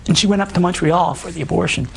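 A man around fifty with a hoarse voice, telling his life story as an interview guest in an earnest, halting way. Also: high-pitched, fairly even intonation, a little hiss or hum, poor audio. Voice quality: hoarse, gravelly. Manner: halting, earnest, many ums and restarts. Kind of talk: personal testimony in an interview. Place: Ottawa, Canada.

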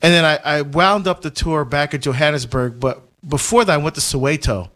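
Animated Barbados-born deacon, higher voice. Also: male voice, fairly quick. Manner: animated storyteller, many fillers and restarts, frequent laughs. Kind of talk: casual conversational chat.

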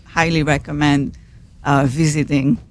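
A woman from Belgium with a Belgian French accent and French as her native language, chatting warmly as a radio guest. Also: low voice, level tone, unhurried. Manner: warm, enthusiastic, with restarts. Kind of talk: casual interview chat.

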